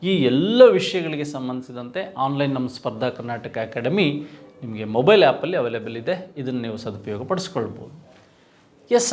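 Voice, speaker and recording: A man giving an exam-prep history lecture as a coaching teacher, explaining with rhetorical questions and repeating key terms. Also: mid-range voice.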